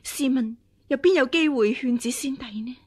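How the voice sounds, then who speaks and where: An actress playing a scheming empress dowager in a radio drama, haughty but hesitant and deferential when cornered. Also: female voice, sits high, normal speed, a little mellow, husky. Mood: pleading, deferential. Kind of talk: Cantonese radio drama acting.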